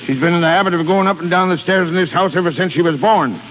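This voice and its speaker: Man acting a high-pitched, blustering old sea captain. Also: fast talker, narrow, tinny audio, close to an everyday voice. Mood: indignant, blustering. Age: older. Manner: blustery, exclamatory. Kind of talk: 1930s radio drama acting. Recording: hissy, crackly 1930s radio broadcast, band-limited, echoey.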